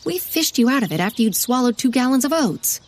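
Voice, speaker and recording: A woman's voice: on the high side, animated, brisk. An actress playing a dry, blunt woman companion in a comedic period audio drama.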